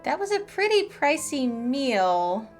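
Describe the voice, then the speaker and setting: High, animated American woman streaming a game. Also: slow pace. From eastern United States. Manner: chatty, playful. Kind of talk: casual live gameplay commentary. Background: game music.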